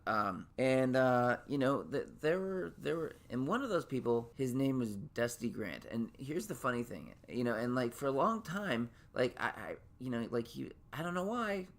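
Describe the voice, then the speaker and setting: A man in his late thirties hosting his podcast in a casual solo monologue. His voice sits naturally high, and he rambles with lots of restarts and fillers. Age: late 30s. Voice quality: naturally high voice he can drop lower. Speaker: podcast host.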